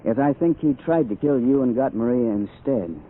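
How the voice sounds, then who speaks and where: An actor playing a terse, wry adventurer-investigator in a 1940s radio drama, with a dry, understated delivery. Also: male voice, mid-range voice, expressive, moderate pace, close to an everyday voice. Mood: tense. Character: terse, wry male adventurer-investigator, world-weary hero. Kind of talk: 1940s radio drama acting and first-person narration. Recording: tinny old radio broadcast, music behind.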